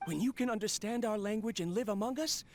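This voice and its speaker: A man's voice: sits high, fast, a little mellow. A man voicing a proud, ambitious researcher in a video game, grandiose as he addresses his machine. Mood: proud, hopeful. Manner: grandiose, declaiming.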